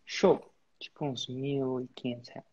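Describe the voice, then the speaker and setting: A husky-voiced man leads a coaching call in a direct, instructive manner, breaking his advice into clear points. His voice sits at a middle pitch, and the recording sounds dull and a bit lo-fi. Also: steady tone, a little slow, darkish tone. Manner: direct, instructive, uses sports-belt metaphors. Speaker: business mentor and host. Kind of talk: live coaching session.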